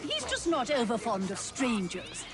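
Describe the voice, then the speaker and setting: An excitable, thrill-seeking Victorian lady of high rank in a game cutscene. She speaks in a high, grand upper-class English voice with drawn-out, theatrical phrasing. Loud music plays under her words. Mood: thrilled, curious. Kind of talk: video game cutscene acting. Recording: loud music over the dialogue.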